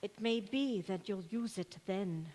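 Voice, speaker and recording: A woman's voice: medium pitch, unhurried, mellow, a little hiss or hum, natural voice. A woman acting an old maidservant, grave and devoted, in an old-time radio drama on a stage. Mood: solemn, mysterious. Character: old Scottish maidservant and former nanny, dour and devoted. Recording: echoey church hall stage recording.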